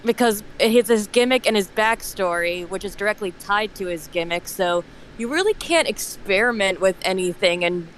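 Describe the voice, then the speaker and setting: A woman's voice: mid-range voice, animated, moderate pace, few pauses, a bit bright, slight background noise. A woman in a casual livestream discussion, giving her opinions in an explanatory way. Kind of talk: casual discussion of comic characters.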